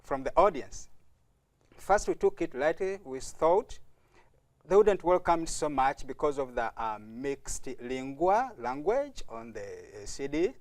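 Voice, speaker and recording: A man's voice: mid-range voice, very animated, a little slow. A man from Uganda who belongs to a youth music group, answering interview questions politely and earnestly. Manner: polite, earnest, often says thank you. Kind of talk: studio interview guest. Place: TV studio.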